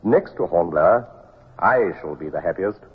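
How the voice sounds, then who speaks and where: A man's voice: low voice, animated, moderate pace. An actor playing a loyal British naval captain, formal and deferential to his superior, with an English accent, in a radio drama. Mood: respectful, loyal. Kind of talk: 1950s radio drama acting. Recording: dull, slightly noisy old radio.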